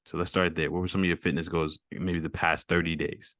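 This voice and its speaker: A man's voice: low voice, flat delivery, a little fast, fairly bright tone, no room sound, clean studio sound. A man hosting a casual fitness interview over a video call, upbeat and encouraging, with easy slang. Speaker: host.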